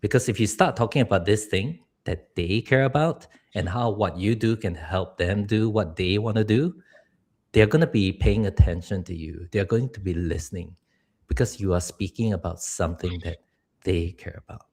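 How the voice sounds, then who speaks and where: Deep voiced guy from singapore, earnest coach on a livestream, laughs here and there. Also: normal speed, a little mellow, breathy edge. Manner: earnest, advice-giving, laughs now and then. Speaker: public speaking coach. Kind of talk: live stream talk.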